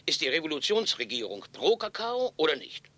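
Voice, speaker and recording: A man's voice: a little high, very animated, a little fast, pushed and bright, dry recording, exaggerated voice. A man playing a polite, jittery broker with a hesitant, anxious way of talking in a German radio play.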